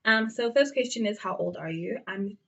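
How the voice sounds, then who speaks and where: A woman's voice: mid-range voice, moderate pace, vocal fry, fairly bright tone, dull audio. A woman in her twenties with a South African accent, chatting casually to her viewers with plenty of fillers. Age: 20s. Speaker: beauty vlogger. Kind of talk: casual get-to-know-me chat.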